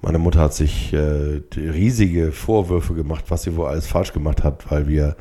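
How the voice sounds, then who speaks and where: A middle-aged or older man hosting a solo podcast, speaking reflectively and haltingly, with short blocks and pauses from a stutter. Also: rumbling low, fairly even intonation, dark tone. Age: 50s or older. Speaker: podcast host.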